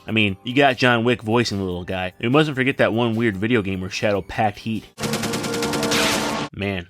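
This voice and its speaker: A man's voice: fairly low pitch, background noise. A man in his thirties delivering scripted video essay narration in a wry, sarcastic way. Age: 30s. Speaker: film review channel host. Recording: music behind the voice. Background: background music.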